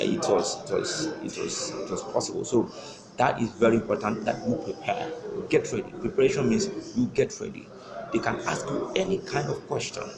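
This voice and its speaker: A man's voice: medium pitch, creaky. A man, giving an earnest, emphatic sales training talk from an office, repeating his key points. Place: office with wallpaper, seated in leather chair. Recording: roomy office with noise.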